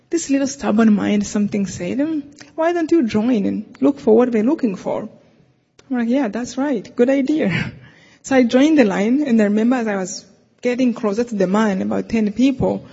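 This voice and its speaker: A Rwandan woman speaks earnestly in a low, husky voice with gravel at its edges. Her warm, mellow tone rings out across a reverberant hall. The lo-fi recording dulls it a little. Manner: earnest, laughs often, hushed intimate delivery. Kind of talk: faith testimony talk.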